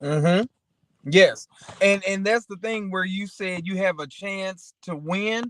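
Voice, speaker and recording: A man's voice: sits high, slow pace, fairly bright tone, no echo. A man chatting casually and motivationally as a talk show co-host, rambling through anecdotes and often checking in with the listener.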